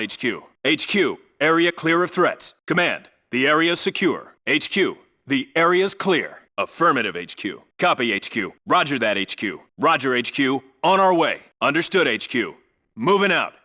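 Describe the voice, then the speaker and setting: A man's voice: medium pitch, sharp tone. A man voicing a tough, disciplined special forces squad leader in a military video game, clipped and authoritative. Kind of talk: military video game voice lines, clipped radio reports and orders.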